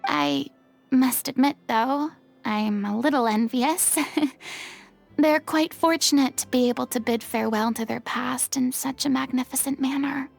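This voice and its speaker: An actress playing a proud, theatrical young woman who was once a deity, in an expressive video game performance. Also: sits high, a little slow, creaky, faint music behind, exaggerated voice. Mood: wistful.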